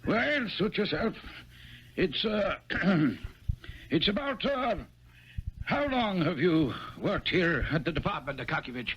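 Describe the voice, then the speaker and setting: An actor playing a stuffy, imperious government director in a 1970s radio drama, pompous, blustering and brusque. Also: male voice, high voice, sing-song, slow pace, fairly bright tone, a little hiss or hum, full character voice. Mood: brusque, surprised. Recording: dull vintage 1970s radio broadcast.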